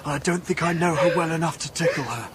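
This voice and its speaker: A man acts out a well-schooled young English man in a comedy drama, naive and painfully polite. His fairly high voice halts and stammers its way through apologies. Clear background noise sits behind him. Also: lightly acted. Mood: flustered, awkward. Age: young adult. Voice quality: halting, stammering. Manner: bumbling, apologetic.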